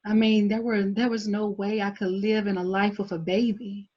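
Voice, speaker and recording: A woman's voice: mid-range voice, little pitch movement, normal speed, lightly acted. Woman playing a proud driven twenties girl, blunt slangy talk, drama table read, kinda dull lo-fi sound. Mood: confessional, upset. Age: young adult. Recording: dull lo-fi recording.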